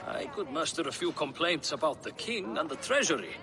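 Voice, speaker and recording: An actor playing a courteous, wry young Norse official in a video game drama. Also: male voice, on the high side, very animated, fairly quick, vocal fry, sharp tone, full character voice. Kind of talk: dramatic game cutscene acting.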